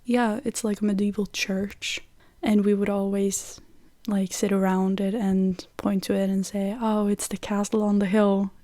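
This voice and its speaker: A woman's voice: mid-range voice, little pitch movement, measured pace, mellow, dry recording. A young woman from Norway with a Norwegian accent, chatting casually and listing songs with lots of "like" fillers. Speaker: vlogger. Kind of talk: casual chat.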